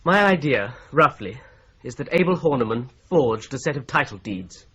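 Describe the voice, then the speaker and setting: An actor playing a methodical solicitor-detective who patiently lays out a fraud theory, in a radio drama. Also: male voice, medium pitch, expressive, normal speed, a bit bright, slightly rough. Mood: explaining. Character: Boone, shrewd young male solicitor-detective, patient explainer. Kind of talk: BBC-style radio drama acting. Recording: dull lo-fi radio play recording.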